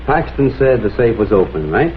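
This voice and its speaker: A man's voice: mid-range voice, very animated, moderate pace, a little hiss or hum, natural voice. Man acting a dogged crime photographer, tinny old soundtrack. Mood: reasoning. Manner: dogged, questioning, polite but insistent. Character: persistent, shrewd male crime photographer playing detective. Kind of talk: vintage crime drama acting. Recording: muffled phone-like old soundtrack, music behind.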